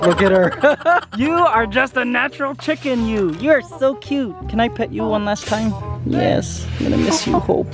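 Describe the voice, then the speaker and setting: A man in his thirties vlogging on a farm, excitable and chatty, cooing at a chicken in baby talk from a handheld camera. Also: high-pitched. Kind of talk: casual vlog. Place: outdoors on a farm.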